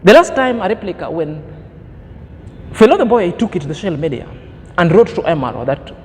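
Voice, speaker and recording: Man, 30s, high-pitched vlogger with a preachy, emphatic delivery and lofty vocabulary, recorded in a reverberant room with a low rumble. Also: very animated, a little fast, darkish tone. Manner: preachy, emphatic, fond of grand words. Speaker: commentary vlogger. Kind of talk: opinion commentary monologue.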